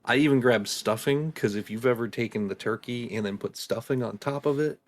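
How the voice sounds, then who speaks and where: A man chatting casually on a podcast, relaxed, pausing often with ums as he talks about food. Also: medium pitch. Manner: relaxed, hesitant, with many ums. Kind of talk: casual chat.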